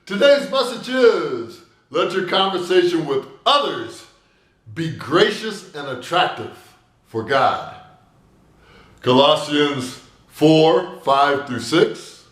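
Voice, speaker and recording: An older man giving a personal sermon to camera, telling stories with big gestures and a booming voice, recorded in a small room indoors. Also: takes it slowly, roomy sound. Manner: animated storytelling with big gestures.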